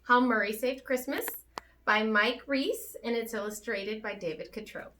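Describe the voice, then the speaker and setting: A woman reading a rhyming children's story aloud in an animated, theatrical way. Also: fairly high pitch, normal speed, a little hiss or hum. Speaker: teacher reading a picture book aloud to children.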